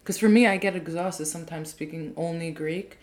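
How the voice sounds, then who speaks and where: A woman interviewing casually, relaxed and full of yeahs and mm-hmms. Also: on the low side, brisk.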